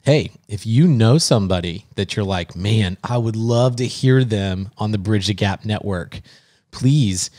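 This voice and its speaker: A man with a fairly low voice talks casually as a podcast co-host. The sound is dry and close, with very little room around it. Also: measured pace, a little mellow, no room sound.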